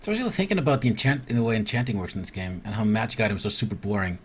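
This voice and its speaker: Animated male game streamer over background noise. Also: on the low side, rapid-fire. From Iceland. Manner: thinks aloud, mutters to himself, mild swearing. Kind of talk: casual live gameplay commentary, reading game text aloud.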